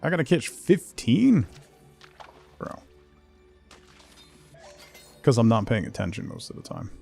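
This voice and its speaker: Man, game streamer, casual chat, muttered asides, lively intonation, vocal fry, music behind. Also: mid-range voice, unhurried. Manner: muttering asides, talking to himself and viewers. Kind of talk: casual livestream gameplay chat.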